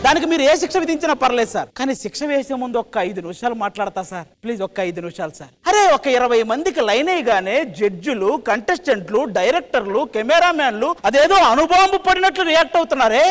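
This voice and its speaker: A man's voice: extremely high pitch, big pitch swings, fast, few pauses, fairly bright tone. A man doing a comic stage monologue in a theatrical, mock-pleading manner. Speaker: comedian team leader. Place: TV studio stage. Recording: music playing behind the voice.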